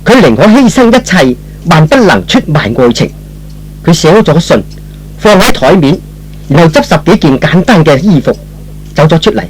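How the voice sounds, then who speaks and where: A man's voice: on the high side, very animated, normal speed, slight background noise, rough recording. A man giving scripted narration in the style of old-fashioned radio drama.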